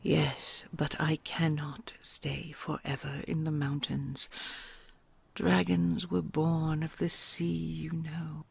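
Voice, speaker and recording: A woman voicing a kind, ancient queen dragon who whispers softly and gently, amused and patient, in an audio roleplay. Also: low-pitched, little pitch movement, no room sound, heavily acted. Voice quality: breathy whispery, gravelly. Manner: slow soothing, amused, patient.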